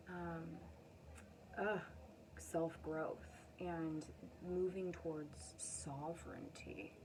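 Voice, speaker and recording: A woman with a lowish voice talks casually as an astrologer giving a card reading. She is effusive and affirming, wandering into chatty asides. Steady, noticeable noise sits behind her voice. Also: creaky. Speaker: astrologer and tarot reader, channel host.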